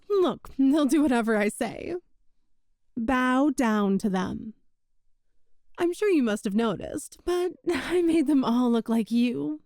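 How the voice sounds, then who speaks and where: A woman's voice: a little high, darkish tone, dry recording, clean studio sound. A woman playing a sweet, possessive young witch shopkeeper in an ASMR roleplay, with a coy, doting, teasing manner. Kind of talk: ASMR romantic roleplay audio.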